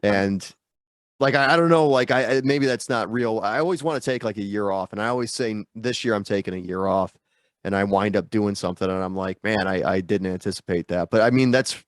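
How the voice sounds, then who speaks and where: Low-voiced man, stuffy and rambling. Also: brisk, no room sound, clean studio sound. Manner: rambling, self-deprecating, lots of fillers. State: stuffy from a sinus infection. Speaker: guest musician. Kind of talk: casual interview chat. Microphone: video call.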